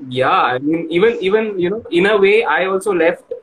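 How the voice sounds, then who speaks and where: A man's voice: high-pitched, lively intonation, normal speed, fairly bright tone, slight background noise, rough recording. A young man with an Indian accent hosting a casual live online chat, switching between English and Hindi with a self-deprecating manner. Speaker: host. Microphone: earphones removed, online live call.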